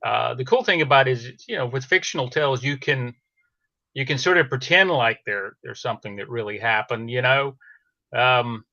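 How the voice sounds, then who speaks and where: A man speaking as a podcast guest in a casual, explanatory chat, with halting, hesitant phrasing. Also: medium pitch, bright, forceful tone, dry recording, highs cut off.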